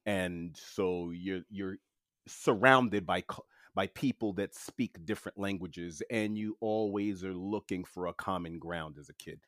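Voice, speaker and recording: Interview guest: New man, very low voice, unhurried and halting, lots of fillers, dry close sound. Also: no echo. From Queens, New York City. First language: French. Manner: relaxed, halting, with many fillers and restarts. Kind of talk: casual storytelling.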